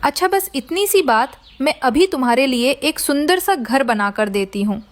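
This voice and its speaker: A generous cartoon fairy, voiced by a woman, with a high voice. She is kindly and reassuring toward little ones. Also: expressive, moderate pace. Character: kind, generous female red fairy. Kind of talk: children's cartoon voice acting.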